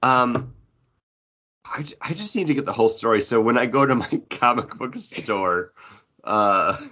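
A man chatting casually about comic books as a podcast host, with an animated, bantering way of talking. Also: medium pitch, unhurried, lo-fi sound. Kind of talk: casual comic book chat.

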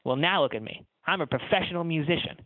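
A man's voice: on the high side, expressive, quick pace, dry recording, narrow, tinny audio. A man voicing a tiny, drunk pianist, wounded and self-pitying, given to emotional outbursts, in a comic story. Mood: confiding, bitter.

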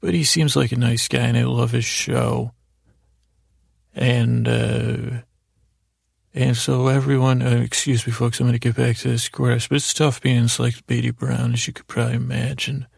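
A man hosting a bedtime podcast in a halting, meandering, gently silly monologue, with a gravelly, creaky voice. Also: medium pitch, flat delivery, dry recording, clean studio sound. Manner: meandering, halting, trails off and loses his way between words. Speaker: podcast host.

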